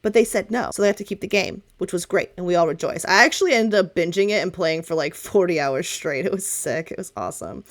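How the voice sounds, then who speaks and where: A woman playing an excitable, teasing young female friend in a tabletop game, rambling in dramatic slang. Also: fairly low pitch, fast talker, few pauses. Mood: excited rambling.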